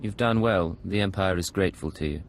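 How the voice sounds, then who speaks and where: A man's voice: deep voice, brisk, slight background noise. A man acting a cool, controlled imperial secret agent in a video game, with terse delivery.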